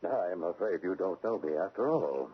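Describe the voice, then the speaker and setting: A man's voice: sits low, lively intonation, moderate pace, slightly rough. An actor playing a formal, politely insistent male government agent in a radio drama. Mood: officious. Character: officious, formally polite federal postal agent. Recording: narrow-band, faintly noisy vintage radio.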